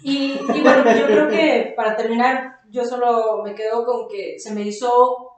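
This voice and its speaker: A woman's voice: on the high side, fairly even intonation, measured pace. A woman with a Mexican accent hosting a casual podcast discussion and giving her review. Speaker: podcast host. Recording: very echoey room, far from mic.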